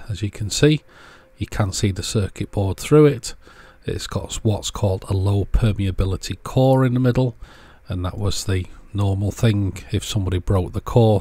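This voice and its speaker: A man with a fairly low voice narrates a practical tutorial. He is plain-spoken and understated, explaining each step casually as a channel presenter would. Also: dark tone, no room sound. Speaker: presenter of an electronics repair channel.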